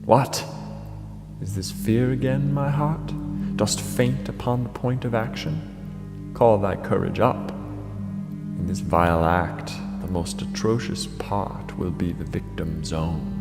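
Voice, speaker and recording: An actor playing a vengeful king tormented by rage in a classical tragedy, declaiming gravely and theatrically. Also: fairly low pitch, takes it slowly. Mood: brooding, vengeful. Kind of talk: classical tragedy monologue, declaimed audio drama. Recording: long hall reverb, music underneath. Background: dramatic music.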